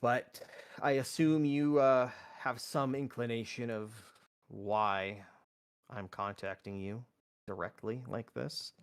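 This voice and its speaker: A man's voice: medium pitch, fairly even intonation, clean tone. Man playing a formal, guarded sorcerer. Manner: measured, formal, halting. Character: male sorcerer, guarded, formal, coolly defiant.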